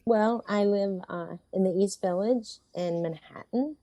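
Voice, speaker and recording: A woman's voice: mid-range voice, unhurried, dark tone, no room sound. A woman playwright chatting in an interview, telling wry, self-mocking stories from her life. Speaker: playwright guest. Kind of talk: conversational interview.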